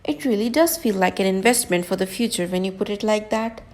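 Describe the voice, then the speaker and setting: A woman's voice: medium pitch, fairly quick, close to an everyday voice. A woman voicing a casual, sceptical teenage idol schoolboy with wry delivery in an anime game fan dub. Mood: wry, musing.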